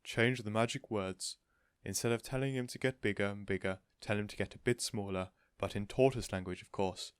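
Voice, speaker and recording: A man voicing a kindly, eager gentleman in a storybook, speaking in an earnest, courteous and reassuring way. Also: fairly low pitch, steady tone, a little fast, clean tone, dry recording, studio quality, lightly acted. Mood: reassuring, confident. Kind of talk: storybook character voice.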